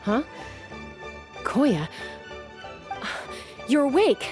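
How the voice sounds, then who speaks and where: A woman's voice: high-pitched, very animated, drawn-out words, music in the background, heavily acted. An actress playing a guarded, sensitive young woman in a video game, hesitant and earnest. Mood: surprised, hesitant.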